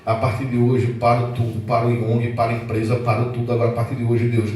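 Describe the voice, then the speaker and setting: A Brazilian pastor in his forties preaching a sermon with fervor, swinging from loud outbursts to low, earnest tones. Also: monotone, fast talker. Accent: Brazilian Portuguese. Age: 40s. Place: church hall. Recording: echoey hall, mic at a distance.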